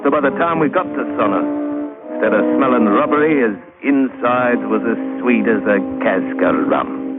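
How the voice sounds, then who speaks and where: A man's voice: mid-range voice, animated, normal speed, natural voice. An actor playing a weathered sailor telling his sea tale in colloquial dialect, in old radio drama style. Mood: brooding, nostalgic. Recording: tinny old radio recording with music.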